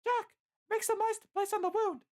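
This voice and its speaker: One narrator doing a very high, shrill pleading young heroine. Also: fairly even intonation, a little mellow. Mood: urgent, commanding. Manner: shrill, drawn-out, urgent pleading. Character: Licia, distraught young heroine pleading at a lover's side. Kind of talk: storybook-style character voice in narrated adventure.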